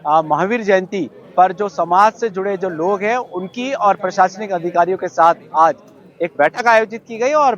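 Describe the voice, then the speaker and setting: A high-voiced man files a live news report over a dull, lo-fi link. He speaks as a correspondent, formal and unscripted, often repeating his phrases. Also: fairly bright tone.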